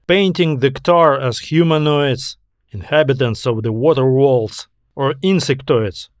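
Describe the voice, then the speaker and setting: A man's voice: mid-range voice, no room sound, clean studio sound. A man reading a scripted science fiction story as an audiobook narrator.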